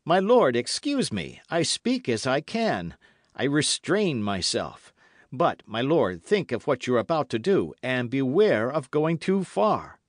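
A man's voice: mid-range voice, sing-song, unhurried, dry recording, studio quality, voice pushed up. An impassioned young officer as a male narrator acts him out in a historical storybook. He declaims earnestly and insistently, in a raised, swooping voice, righteous and defiant. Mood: urgent pleading. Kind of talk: dramatic audiobook character reading.

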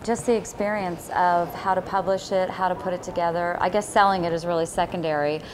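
A woman's voice: on the low side, steady tone, a little fast, noisy background. A woman, a mother of three, speaking thoughtfully and supportively in a casual interview. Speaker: parent.

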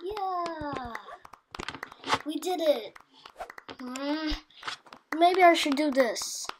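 Very high-pitched child doing cartoon voice-over. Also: drawn-out words, noisy background. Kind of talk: amateur improvised cartoon voice-over. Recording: music behind the voice.

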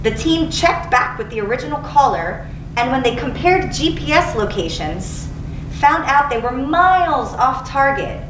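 A woman in her thirties reads a book aloud in a fairly high voice. She is recorded from a distance, with clear noise around her. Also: big pitch swings, unhurried. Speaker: teacher reading aloud. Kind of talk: read-aloud narration from a book. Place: indoor school studio, seated. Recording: roomy, distant mic, clearly noisy.

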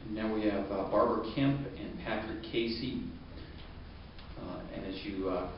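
A man chairing a committee hearing, brisk and procedural. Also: lowish voice, a little hiss or hum. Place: large hearing room indoors. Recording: distant echoey lo-fi room recording.

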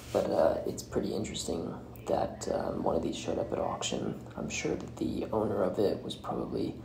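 A man hosting a solo podcast, giving commentary in a halting way and often breaking off mid-phrase. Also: sits low. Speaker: podcast host. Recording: roomy echo with clear background noise.

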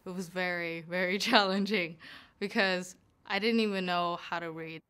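A young woman, a graduating high school student whose first language is Chinese, speaking earnestly. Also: steady tone, moderate pace, fairly bright tone, smooth. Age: young adult. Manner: earnest, personal.

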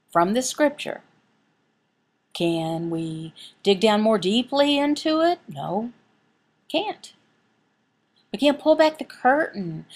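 A woman voicing a signed sermon as an interpreter, speaking deliberately, phrase by phrase with pauses. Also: medium pitch, darkish tone. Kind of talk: sermon and prayer, voiced from sign language.

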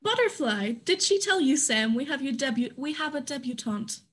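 A woman's voice: high voice, animated, normal speed. A woman acting a drunk frontier businesswoman in a staged reading over a video call. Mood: tipsy. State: acted drunkenness.

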